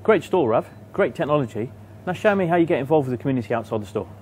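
A man presenting and interviewing shop owners in brisk, upbeat questions. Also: mid-range voice, lively intonation, darkish tone, slight background noise. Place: shops and community hall, indoors.

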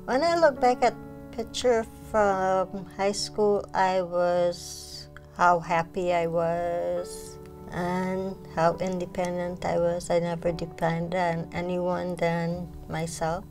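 A woman's voice: fairly low pitch, little pitch movement, takes it slowly. A woman in her forties with a Guyanese accent, telling her personal story in an interview. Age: 40s. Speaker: client sharing personal story. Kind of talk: interview testimonial. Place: small furnished living room indoors. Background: soft music.

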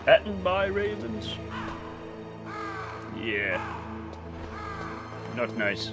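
A man's voice: high-pitched, sing-song, very slow. A man hosting a gaming channel, chatting and exclaiming as he comments on his gameplay. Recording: game music behind voice.

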